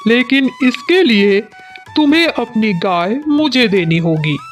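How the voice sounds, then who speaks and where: A woman's voice: on the high side, animated, slow, faint music behind. A woman voicing a sly male village moneylender, coaxing and confiding, in a cartoon story.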